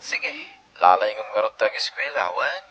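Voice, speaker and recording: Man acting gentle, low-voiced Ilocano father. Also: lively intonation, moderate pace. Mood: indulgent. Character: gentle, devoted Ilocano father working abroad. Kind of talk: audio drama acting.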